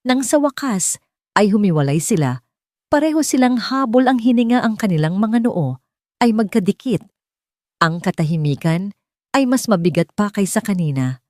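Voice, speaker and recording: A woman's voice: medium pitch, expressive, normal speed, long pauses, darkish tone, no echo, studio quality. A woman with a Filipino accent reading a dramatic romance story as a scripted audiobook narration.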